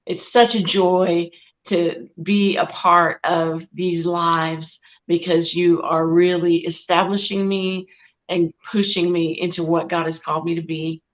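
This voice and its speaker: Woman guest, earnest and heartfelt, lowish voice, muffled audio. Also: steady tone, measured pace. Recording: muffled video call audio.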